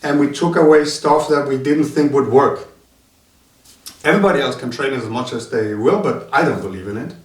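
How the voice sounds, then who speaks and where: A man from Denmark, a martial arts instructor talking in a casual interview, halting with many filler sounds. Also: medium pitch. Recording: roomy sound from a distant mic.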